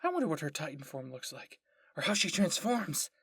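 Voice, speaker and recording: A man voicing an excitable, nosy female military researcher in an anime fan story, gushing and emphatic. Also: fairly high pitch, fairly quick, breathy edge, no room sound, voice pushed up, full character voice. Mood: eager curiosity.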